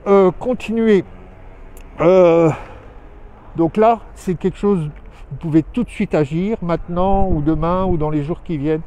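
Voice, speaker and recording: Man, high mellow voice, casual live update, rambling with fillers, handheld phone, outdoors, faint traffic behind. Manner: rambling, hesitant, many fillers and restarts. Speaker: host of weekly live show. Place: outdoors in town streets.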